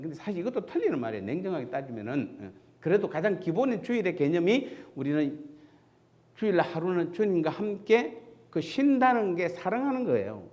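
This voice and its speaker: A man's voice: high voice, a little mellow, highs cut off. A man from Seoul, a pastor giving a conversational sermon in a church sanctuary, asking the congregation questions and answering them himself.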